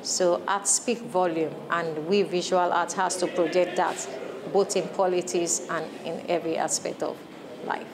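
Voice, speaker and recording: Low-voiced Nigerian woman artist. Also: fairly even intonation, unhurried, background noise. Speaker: painter being interviewed. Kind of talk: spontaneous interview. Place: indoor art gallery. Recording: people chattering in the gallery.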